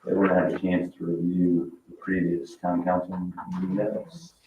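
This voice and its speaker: Male meeting chair, deep and procedural, picked up from a distance in an echoey room. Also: flat delivery, normal speed. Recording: roomy, distant, muffled mic with noise.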